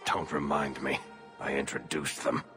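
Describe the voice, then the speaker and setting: Deep-voiced wry roguish storyteller. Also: male voice, level tone, a little slow. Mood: guarded, wry. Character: wry storyteller, roguish dwarf companion recounting past adventures. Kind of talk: cinematic fantasy game dialogue and narration. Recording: music behind the voice.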